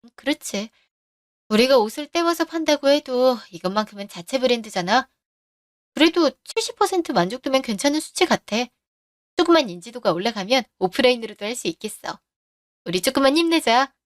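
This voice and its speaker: A woman's voice: high-pitched, expressive, fairly quick, no room sound, studio quality. A woman voicing a scheming middle-aged husband who brushes off his wife's worries, in a radio drama. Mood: boss-like.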